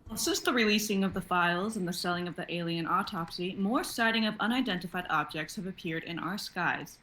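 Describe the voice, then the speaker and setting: A woman's voice: fairly low pitch, brisk, a bit bright, rough recording. A young adult woman acting out parts in a dramatic script reading. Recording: noticeable background noise, online stream.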